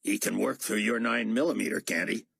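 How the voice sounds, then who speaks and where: A man's voice: fairly low pitch, a little fast, gravelly, a bit bright, slightly rough, no room sound, pitched above the performer's own voice, heavily acted. A man narrating an audiobook voices a cocky male pilot who jokes while on the run. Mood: cocky, teasing.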